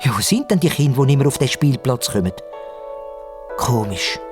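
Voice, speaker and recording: A man voicing an excitable, impatient Easter bunny in a children's radio play, with a Swiss German accent and eager exclamations. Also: medium pitch, moderate pace, music in the background, natural voice. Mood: excited, puzzled. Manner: eager, exclaiming, wondering aloud. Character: excitable, impatient, easily startled young-hearted male Easter bunny.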